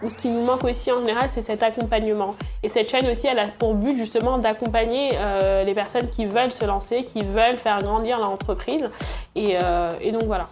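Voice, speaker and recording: Young woman, halting, music behind. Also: a little high, fairly even intonation. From Senegal, born in Congo, raised in France. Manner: hesitant, many fillers and restarts. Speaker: entrepreneur and channel creator. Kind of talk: interview answers.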